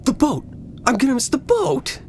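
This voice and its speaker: Male actor as American teacher, radio play, creaky fry, weary, grumbling, eager, hesitant. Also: very high-pitched, big pitch swings, normal speed, slight background noise, exaggerated voice. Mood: panicked.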